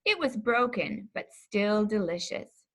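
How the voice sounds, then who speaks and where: A woman reading a children's story aloud in an expressive, deliberate storytelling manner. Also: mid-range voice. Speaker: pastor reading a children's book.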